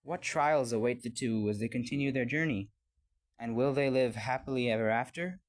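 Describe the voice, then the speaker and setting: A man reading a scripted fairy tale as a storyteller, with an expressive storybook delivery. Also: medium pitch, normal speed.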